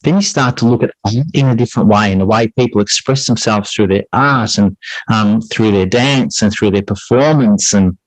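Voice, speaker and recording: A man's voice: fairly low pitch, normal speed, no room sound, low-quality recording. A middle-aged man speaking as an interview guest, earnest and reflective, circling back over phrases as his thoughts form. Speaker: podcast guest, English teacher and writer.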